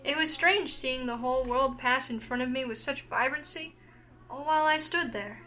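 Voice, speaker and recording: Woman playing an earnest high-voiced young heroine. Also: moderate pace, sharp tone, low-quality recording. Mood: wistful. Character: curious, introspective young woman heroine narrating her thoughts. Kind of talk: visual novel read-aloud.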